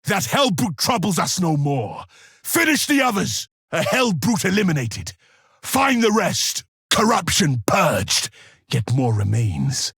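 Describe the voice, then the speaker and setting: Video game space marine: grim and dutiful, slow measured delivery, extremely hoarse-edged rasp. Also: male voice, high voice, big pitch swings, dry recording, studio quality, heavily acted. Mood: commanding. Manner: forceful, solemn, clipped declarations. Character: grim, duty-bound male space-marine soldier, impatient for battle. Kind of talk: dramatic video game battle barks.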